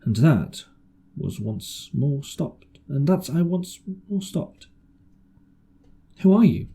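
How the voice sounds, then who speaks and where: Husky, mellow male audiobook reader. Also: mid-range voice, sing-song, takes it slowly. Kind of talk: scripted narration of an adventure novel.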